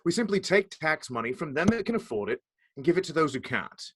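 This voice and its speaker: A cheeky, quick-witted outlaw in a radio drama. His voice is husky yet forceful and very animated, in a dry recording. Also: on the high side, no room sound, heavily acted. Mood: cheeky, justifying. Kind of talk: radio play acting.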